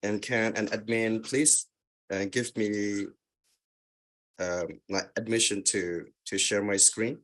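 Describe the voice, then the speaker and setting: Slow, hesitant man. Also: on the low side, steady tone, a bit bright. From Myanmar. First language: Karen. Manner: hesitant, many fillers and restarts. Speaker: guest speaker. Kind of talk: webinar presentation. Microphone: video call.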